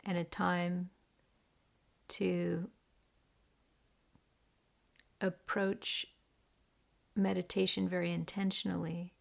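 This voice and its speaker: A woman leading a guided meditation in a deliberate, gentle, hushed manner with long pauses. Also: fairly low pitch, level tone, creaky. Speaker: meditation teacher.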